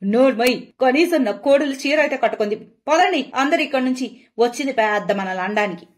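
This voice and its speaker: A woman's voice: high voice, expressive, brisk. Woman acting a gossipy auntie, middle-aged, judgmental, indignant, scandalized, cartoon tale.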